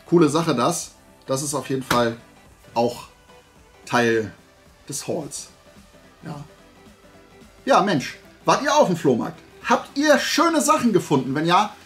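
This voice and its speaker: A man in his forties from Germany chatting casually to camera as a vlog host, in a colloquial, self-deprecating way. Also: fairly high pitch. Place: small indoor room lined with collectible shelves.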